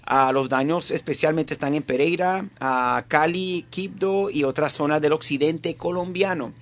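Venezuelan guy doing live morning radio, voice a little on the high side, kinda stumbly. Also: moderate pace. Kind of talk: live morning show talk with news report. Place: radio studio.

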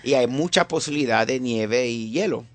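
A man's voice: medium pitch, moderate pace. A man hosting a Spanish-language radio show from the studio, chatting casually with his co-host and mixing in English words.

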